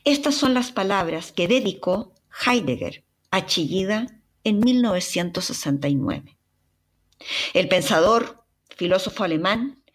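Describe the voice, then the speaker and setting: A young Chilean woman with a Chilean accent and a breathy voice, dramatically telling a personal travel story as a podcast narrator. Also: medium pitch. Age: young adult. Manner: expressive, dramatic retelling with sighs and exclamations.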